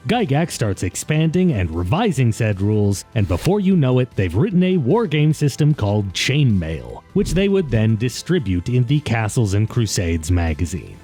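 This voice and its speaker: A man's voice: medium pitch, big pitch swings, normal speed, few pauses, mellow, slightly rough, faint music behind. A man narrating a scripted video essay in a conversational, wry way, as the host of the show.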